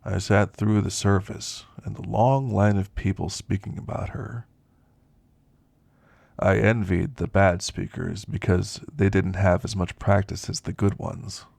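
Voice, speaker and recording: A man playing a weary, wistful elderly werewolf who confides in slow, reflective ASMR roleplay storytelling, gravelly and husky. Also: sits low, little pitch movement, a little mellow, dry recording, full character voice. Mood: wistful, mournful. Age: older.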